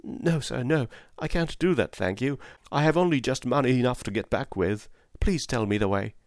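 A man's voice: medium pitch, normal speed, no room sound, clean studio sound, pitched above the performer's own voice, lightly acted. A man voicing a poor young country mother, anxious and humble, pleading politely in a storybook reading.

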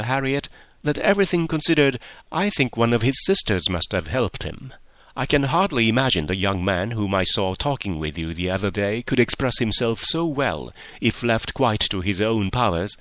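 A man voicing a confident young gentlewoman who speaks in a measured, gently lecturing way. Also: fairly low pitch, no room sound. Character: poised, self-assured young gentlewoman.

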